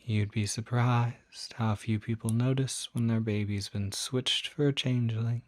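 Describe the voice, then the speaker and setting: A man playing a courteous demon doctor in an ASMR roleplay, speaking gently with a measured, politely formal bedside manner that often drops toward a whisper. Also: mid-range voice, fairly even intonation, dry recording, natural voice. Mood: calm, reassuring. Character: courteous, soft-spoken male demon doctor, fussy and reassuring. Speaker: doctor at a supernatural clinic.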